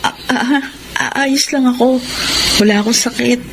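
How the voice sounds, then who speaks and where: Young girlfriend, fairly high voice, moody, evasive, radio drama, loud background noise. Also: steady tone, normal speed, creaky. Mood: hesitant, confiding. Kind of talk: Tagalog radio drama acting.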